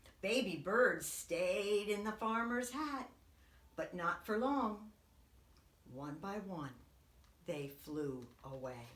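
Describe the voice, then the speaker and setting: A woman, a preschool teacher, reading a picture book aloud to young children in an expressive, dramatic way. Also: mid-range voice, slow pace, a little hiss or hum. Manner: expressive, dramatic whispers and swoops. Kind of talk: animated read-aloud storytelling for young children. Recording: echoey room.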